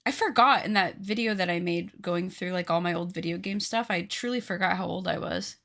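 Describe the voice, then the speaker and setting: A woman's voice: on the low side, a little fast, vocal fry, a bit bright. A woman in her late twenties chatting casually with her live-stream viewers while she crafts, joking and teasing herself. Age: 20s. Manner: chatty, joking with chat, self-deprecating.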